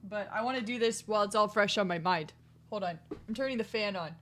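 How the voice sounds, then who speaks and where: A hoarse woman streamer chatting casually to her livestream in a chatty, self-deprecating way from a room at home. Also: medium pitch, fast, slight background noise.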